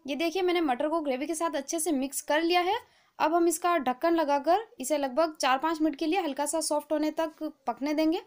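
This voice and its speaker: A woman hosting a cooking channel, giving a calm, patient, step-by-step recipe voice-over. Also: high voice. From India.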